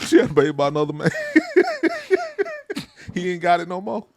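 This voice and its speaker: A man's voice: fairly high pitch, animated, normal speed, gravelly, a little mellow. A male pastor co-hosting a casual talk show, teasing and making jokes. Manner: teasing, jokey. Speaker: pastor and podcast co-host. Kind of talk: casual chat.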